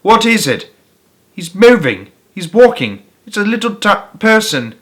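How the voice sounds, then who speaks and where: Spoiled little girl for a storybook, done by a male reader: high-pitched, frantic, screaming. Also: very animated, a little slow, a bit bright, full character voice. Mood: frantic excitement. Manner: shrill, urgent, breaking into screams. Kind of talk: storybook character voice.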